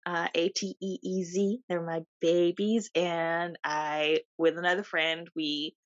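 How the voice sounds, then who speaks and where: A woman's voice: on the low side, little pitch movement, slow, no echo. A woman co-hosting a podcast, chatting casually and laughing often as she tells stories. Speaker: podcast co-host. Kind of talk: casual chat. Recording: dull lo-fi sound.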